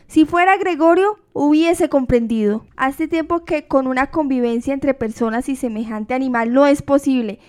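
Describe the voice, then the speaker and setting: A woman's voice: sits high, natural voice. A woman acting as a desperate, resolute young sister in an amateur radio drama, speaking emphatically and pleadingly.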